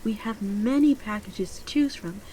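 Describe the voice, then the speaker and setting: A woman acting as a genteel saleswoman in a futuristic pet shop, speaking softly in an ASMR roleplay style. Her delivery is courteous and measured, often sinking toward a whisper, at a medium pitch. There is clear ambient noise behind her. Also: close to an everyday voice, low-quality recording. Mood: informative, reassuring. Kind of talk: soft-spoken ASMR roleplay, customer-service patter.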